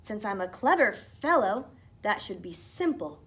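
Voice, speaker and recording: A woman's voice: mid-range voice, very animated, a little slow, a bit bright. A woman voicing a cocky, clever young man in a storybook, with a bold, confident manner. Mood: scheming.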